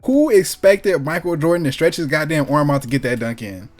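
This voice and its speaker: A man co-hosting a casual podcast chat, telling things in animated slang and repeating himself for emphasis. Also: on the high side, brisk. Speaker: podcast co-host. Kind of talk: casual chat about movies and sports.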